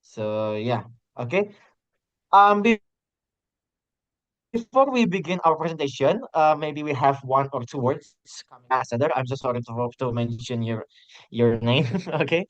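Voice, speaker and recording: A man's voice: mid-range voice, animated, measured pace, no echo. A man moderating an online teaching webinar, introducing the guest with many fillers and restarts. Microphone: video call. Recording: dull, dry online call audio.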